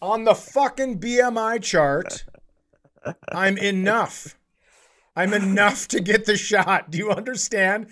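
Higher-pitched man in his forties, joking. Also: slow pace, a bit bright. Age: 40s. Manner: teasing, self-deprecating, swearing. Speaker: podcast co-host, comedian. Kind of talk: casual comedic banter and storytelling.

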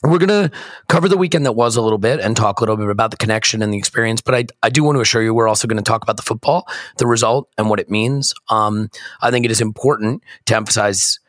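Male podcast host, American, hoarse croaky voice, dry close mic, self-deprecating chat. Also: fairly low pitch, fast, no room sound. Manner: self-deprecating, rambling with restarts. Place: hotel room.

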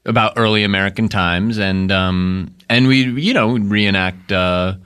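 A man's voice: low voice, fairly even intonation, a little slow, a bit bright, clean tone. A man on a comedy podcast who talks in a dry, offhand way. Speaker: podcast co-host. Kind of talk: improvised comedy podcast banter.

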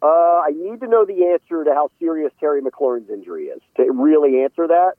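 Phone-like, higher-pitched man talking football. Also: animated, brisk, no room sound. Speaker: sports analyst interviewed as a guest. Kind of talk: conversational football analysis. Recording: phone-like radio call audio.

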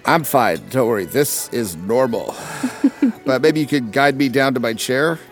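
A man's voice: medium pitch, lively intonation, measured pace, faint music behind, natural voice. An actor playing a clumsy, easygoing young man who jokes about his mishaps. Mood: joking. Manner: joking, easygoing. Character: clumsy good-humored young man, accident-prone. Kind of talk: comedic audio drama.

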